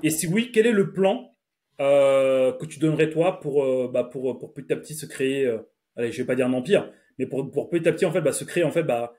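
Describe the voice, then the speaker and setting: A man's voice: medium pitch, brisk, warm tone. A man in his thirties hosting a podcast, chatty and slangy, often laughing. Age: 30s. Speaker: podcast host. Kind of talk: casual podcast chat.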